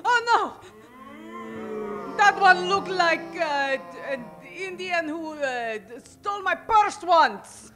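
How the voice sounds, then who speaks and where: A woman's voice: very high voice, expressive, sharp tone, heavily acted. A woman playing a scheming, haughty Mexican villainess who frightens easily, in a melodramatic comedic old-time radio style. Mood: panicked. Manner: melodramatic, halting delivery.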